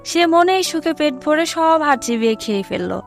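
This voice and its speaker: A woman's voice: sits high, expressive, moderate pace, creaky. A woman narrating a scripted fable for children as a storyteller. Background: music.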